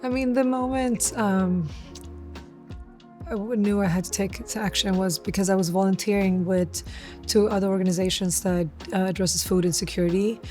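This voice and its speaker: Even, mellow woman's voice over music. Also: medium pitch, normal speed. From Stockholm, Sweden; born in Eritrea. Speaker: founder of a community food nonprofit, interviewee. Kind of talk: reflective interview talk.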